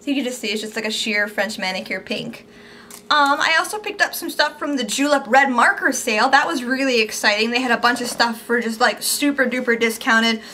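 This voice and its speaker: A young woman chatting casually and gushing over her purchases in a haul video, her voice a little squeaky from overuse. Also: a little fast, pushed and bright, a little hiss or hum. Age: young adult. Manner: chatty, upbeat, gushing about products. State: losing her voice, slightly squeaky. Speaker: beauty vlogger. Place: small indoor room at home.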